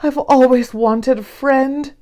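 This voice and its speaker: A woman voicing a gentle, lonely toy bear in a children's storybook. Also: sits high, steady tone, slow pace. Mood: wistful, sweet.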